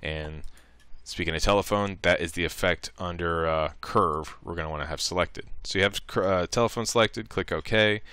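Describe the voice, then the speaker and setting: A man presenting a casual software tutorial, talking informally through each step and thinking aloud. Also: deep voice, normal speed, smooth, no echo.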